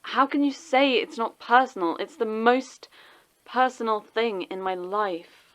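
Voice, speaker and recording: A woman acting a caring but wounded friend, a schoolteacher, in an audio drama, gently persuasive and wry. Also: on the high side, unhurried, natural voice. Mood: hurt.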